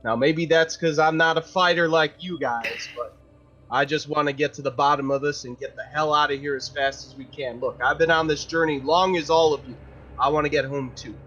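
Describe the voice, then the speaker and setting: A man's voice: fairly high pitch, fairly even intonation, a little fast, fairly bright tone. A man playing a tabletop game, talking casually in character in an earnest, pleading manner. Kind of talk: casual in-character roleplay talk. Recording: slightly dull, lo-fi voice audio.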